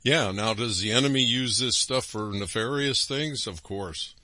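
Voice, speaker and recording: A man's voice: mid-range voice, measured pace, pushed and bright, no room sound. A man hosting a religious broadcast, preaching emphatically with rhetorical questions and frequent asides. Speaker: radio broadcast host.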